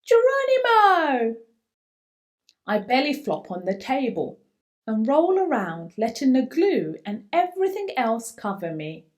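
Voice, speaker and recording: A woman reads a children's story in a high voice. Her delivery is slow and highly animated, with theatrical emphasis. She stretches out key words as she tells the tale. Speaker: children's book reader. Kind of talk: expressive picture-book read-aloud, voicing a young boy's first-person story.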